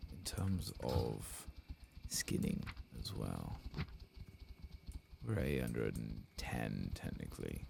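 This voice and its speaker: A soft-spoken streamer giving murmured, half-whispered ASMR commentary on a game, close to the microphone. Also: rumbling low, big pitch swings, slow, gravelly, pauses often, noisy background. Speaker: gameplay streamer.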